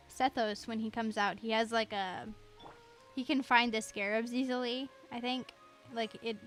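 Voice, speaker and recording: A woman with a fairly high voice chats casually as she streams a game. She rambles in a giggly, self-deprecating way. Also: a bit bright. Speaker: livestreamer. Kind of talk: casual gaming livestream chat.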